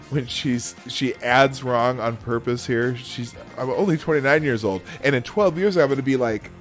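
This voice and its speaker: A man's voice: mid-range voice, a little slow. A man hosting a casual livestream, rambling and correcting himself as he reads comments aloud. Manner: rambling, self-correcting, chuckling. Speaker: livestream host. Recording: background music under voice.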